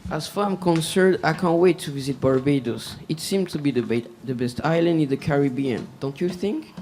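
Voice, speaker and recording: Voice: mid-range voice, moderate pace, a little mellow, slight background noise. Teen student host reading a script. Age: teens. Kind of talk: reading a school radio script.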